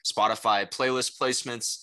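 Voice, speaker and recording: A man's voice: mid-range voice, fairly even intonation, moderate pace, pushed and bright. A young man who speaks Mandarin Chinese natively, answering as a casual interview guest in a rambling way full of conversational tags. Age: young adult. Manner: rambling, frequent "you know" and "right?" tags. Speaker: interview guest, salesperson and rapper.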